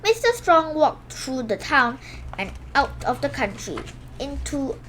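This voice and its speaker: A woman reads a children's picture book in a high voice. Her delivery swings up and down with lots of expression, punching out sound words and now and then correcting a slip. There is faint noise behind her. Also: unhurried, rough recording. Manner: animated, exclaims sound words, stumbles and corrects herself. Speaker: storybook reader for a children's video channel.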